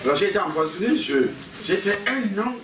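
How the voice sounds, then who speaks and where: A man's voice: high voice, lively intonation, a little fast. A man with a Cameroonian French accent preaching with personal stories, repeating his phrases and prompting the congregation. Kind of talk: animated sermon with personal anecdotes. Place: church meeting hall with a congregation. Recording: echoey hall, distant mic, congregation noise.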